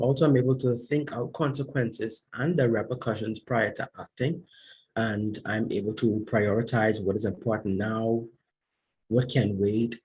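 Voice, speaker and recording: A young man gives a formal, prepared answer as a student panelist. His voice is on the low side and very husky. He sets out his points in an orderly way. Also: darkish tone, poor audio.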